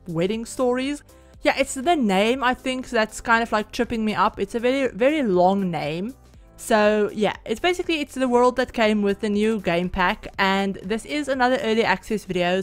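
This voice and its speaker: Chatty Afrikaans-speaking woman, rambling voiceover. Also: mid-range voice. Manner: rambling, chatty, many "like" and "yeah" fillers. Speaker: Sims speed-build YouTuber. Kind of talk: casual game voiceover. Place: home, at night.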